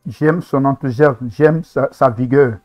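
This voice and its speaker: A man's voice: medium pitch, a little slow, over loud music. A man hosting a reaction video, commenting on gospel singers with enthusiastic, preacherly remarks. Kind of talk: casual commentary on a talent show.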